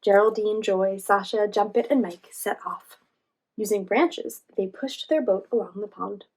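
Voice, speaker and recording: A woman reading a children's storybook aloud as host, pausing in a teacherly way to guide young listeners. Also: medium pitch, darkish tone, husky. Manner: teacherly, asks listeners questions and guides them.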